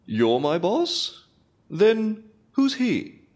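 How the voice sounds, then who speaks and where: An actor playing a haughty, world-weary British radio announcer in a comedic audio drama. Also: male voice, sits high, huge pitch swings, extremely slow, a little mellow, full character voice. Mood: disdainful. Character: snooty, disdainful British male radio announcer. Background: music.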